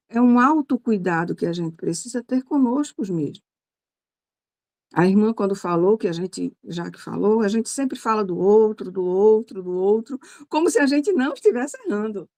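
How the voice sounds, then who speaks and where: Woman, Brazilian accent, mellow dark tone, medium pitch, group host, informal. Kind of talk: leading an informal group discussion.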